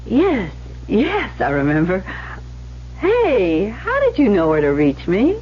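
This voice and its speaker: Hesitant, polite young female receptionist for vintage radio drama; very expressive pitch, faint hum. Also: takes it slowly, muffled recording. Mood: flustered, hopeful. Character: lonely, shy young woman receptionist at a doctor's office. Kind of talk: old-time radio drama acting.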